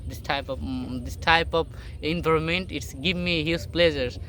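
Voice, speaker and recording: A young man from Bangladesh, a native Bengali speaker, giving a casual motivational talk to camera in halting English full of fillers and restarts, sitting on a boat outdoors. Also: fairly high pitch, a bit bright, slight background noise. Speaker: vlogger. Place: sitting alone on a boat outdoors in a rural area.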